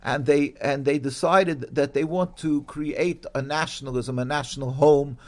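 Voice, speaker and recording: A religious man as an interview guest, explaining his faith in a measured way with frequent pauses and fillers. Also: on the high side, fairly even intonation.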